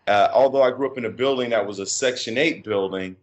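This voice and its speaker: A middle-aged man from Chicago with a deep voice, a podcast guest talking in a reflective, halting way with many fillers and restarts. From Chicago, USA. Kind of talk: casual interview conversation.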